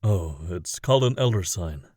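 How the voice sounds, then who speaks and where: Low, casual man acting as an unflustered ally. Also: expressive, moderate pace, a little mellow, no room sound, studio quality. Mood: calm, relieved. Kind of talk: audio drama acting.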